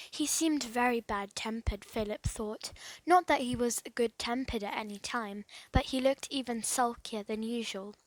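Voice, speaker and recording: A woman reads a children's adventure story aloud as narrator. Her voice is high-pitched. The recording is dry, with very little room sound. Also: dry recording, low-quality recording. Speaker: storybook reader.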